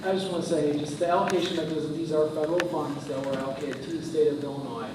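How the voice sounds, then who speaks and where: A man's voice: on the high side, fairly even intonation. Man explaining funding in an echoey hall. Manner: factual, measured explanations. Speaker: state housing program representative. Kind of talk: explaining a program at a public meeting. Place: village board meeting room. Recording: echoey noisy hall, picked up from far off.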